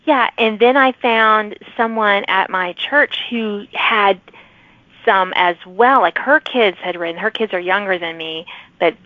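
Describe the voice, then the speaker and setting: A woman's voice: medium pitch, measured pace, dry recording, dull audio. A middle-aged woman hosting a casual conversational interview, effusive and affirming in how she talks. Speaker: podcast host interviewing an author.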